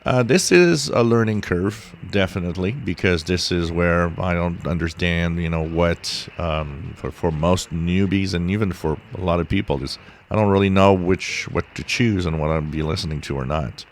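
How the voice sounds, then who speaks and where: A man giving an informal, explanatory screen-share tutorial in a halting, rambling, instructive way. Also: low voice. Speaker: shortwave radio hobbyist, tutorial host. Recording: steady hiss behind the voice.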